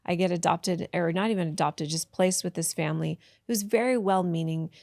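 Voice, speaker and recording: A woman's voice: on the low side, steady tone, fairly quick, gravelly, dry recording, studio quality. A woman guest telling her life story in a candid, reflective way, often restarting sentences. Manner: frank, reflective, with restarts and soft asides. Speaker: podcast guest, tantra teacher.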